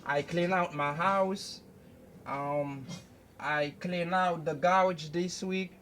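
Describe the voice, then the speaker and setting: A young man teaching an English lesson at a whiteboard, slowly repeating each phrase several times. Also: on the high side, steady tone, fairly bright tone, a little hiss or hum. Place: indoors at a whiteboard. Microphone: camera close by.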